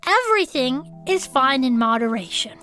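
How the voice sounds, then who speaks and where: A woman's voice: sits high, sing-song, normal speed, bright, forceful tone, faint music behind, full character voice. A woman playing a quirky, earnest cryptid enthusiast, telling silly tales in a playful comic chat with baby-talk words. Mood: quirky, musing. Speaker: podcast co-host.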